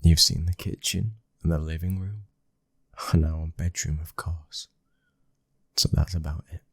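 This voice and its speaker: A British man playing a loving, dominant young mafia heir in an intimate ASMR boyfriend roleplay, speaking close to the mic in a breathy, husky voice. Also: extremely low pitch, fairly even intonation, unhurried, fairly bright tone, no echo, heavily acted. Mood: relaxed, affectionate. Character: smooth-talking, loving, dominant young mafia boss's son. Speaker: audio roleplay voice actor. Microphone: close microphone.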